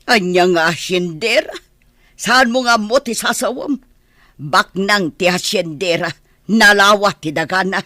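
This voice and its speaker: A woman's voice: medium pitch, measured pace, bright, forceful tone, dry recording, clean studio sound. A woman with an Ilocano Filipino accent playing a blunt, quarrelsome village neighbour in a radio drama. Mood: blunt, dismissive.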